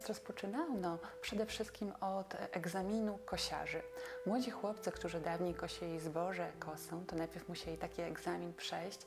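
A woman's voice: lowish voice, fairly even intonation, normal speed, gravelly, over music. A woman in her forties giving an explanatory talk to camera in a room, on a lapel mic, repeating words for emphasis. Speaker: museum expert. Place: small quiet furnished room, indoors.

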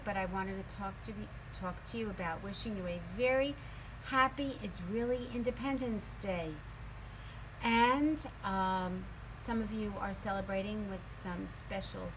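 A middle-aged woman reading a picture book aloud to children in a storytelling style, recorded outdoors on a patio. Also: mid-range voice. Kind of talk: slow read-aloud storytelling. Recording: some background noise, outdoor ambience.

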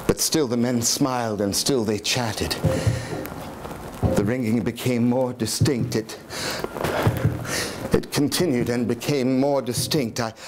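A man in his sixties playing a guilt-ridden, mad murderer in a theatrical gothic horror reading, halting and repeating words, agitated and declamatory. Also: medium pitch, steady tone, noisy background, pitched below the performer's own voice, natural voice. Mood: uneasy, confiding. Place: small indoor room in an old house, live audience.

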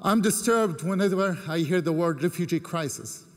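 A man in his thirties from Jordan giving a formal prepared speech from a podium, measured and earnest, with deliberate pauses between phrases. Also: sits high. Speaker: keynote speaker. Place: large ornate hall with seated audience. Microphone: podium microphone. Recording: long hall reverb.

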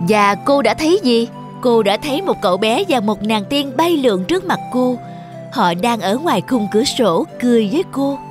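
A woman narrates children's fairy tales in a fairly high voice. It is scripted storytelling, with music clearly playing behind her. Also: lively intonation, moderate pace. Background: background music.

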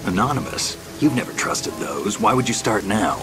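An actor playing a gruff, hardened male covert operative, terse and wary, in a dramatic video game. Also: fairly low pitch, animated, normal speed, natural voice. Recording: music and rain behind.

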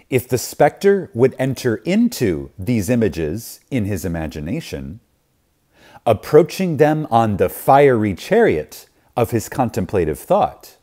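A man reading a spiritual lecture aloud in a reverent, deliberate manner, with pauses and emphasis. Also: medium pitch, mellow. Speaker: reader of a lecture.

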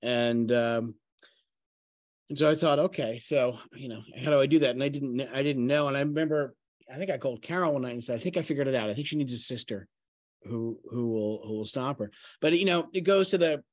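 A middle-aged man speaks on a video call in a casual discussion. His voice is medium in pitch and dryly recorded. He is halting and self-effacing, often letting phrases trail away. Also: dry recording, clean studio sound. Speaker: playwright and actor.

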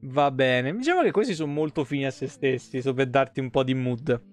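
A man's voice: mid-range voice, animated, brisk. A man, livestreaming as he reads game lore aloud expressively, with offhand asides and self-corrections. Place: indoor room, webcam livestream. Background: soft guitar game music.

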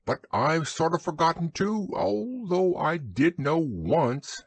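A bossy storybook rabbit, as a man plays him, pitched up and very animated. He talks like a self-assured know-it-all, with a husky edge. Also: takes it slowly, no echo, heavily acted. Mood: evasive.

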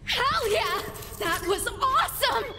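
A woman's voice: very high voice, sing-song, slow pace, big-room sound, noisy background, exaggerated voice. A woman playing an eager, impatient teenage girl apprentice in a video game, flippant and casual. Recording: loud music behind voice.

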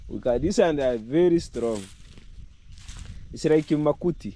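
A middle-aged man from Kenya with a Kenyan accent, hoarse from a cold, chatting to his camera while he works outdoors in his village. He repeats words and jumps up in pitch when he stresses a point. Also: lively intonation, unhurried, dark tone. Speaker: vlogger. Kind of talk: casual vlog to camera. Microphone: camera held at arm's length.

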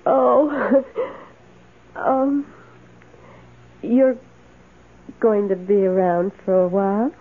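Timid, slow-spoken lovelorn maid. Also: female voice, mid-range voice, fairly even intonation. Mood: flustered, adoring. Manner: timid, halting, wistful. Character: meek, lonely, adoring live-in maid. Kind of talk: old radio drama acting. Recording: tinny, hissy old radio recording.

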